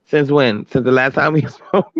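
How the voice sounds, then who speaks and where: A man's voice: mid-range voice, steady tone, normal speed, no room sound. A man, talking bluntly and casually, swearing as he fiddles with his setup, heard through a phone. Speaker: live stream host. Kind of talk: casual chat. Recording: muffled lo-fi phone mic.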